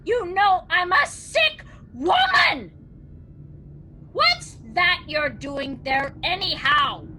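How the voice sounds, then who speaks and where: An actress playing a nagging, self-pitying, fearful wife in a melodramatic 1940s radio drama style, shrill and complaining. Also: female voice, very animated, very slow, slight background noise, full character voice. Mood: irritated, complaining. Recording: old 1940s radio recording, loud music.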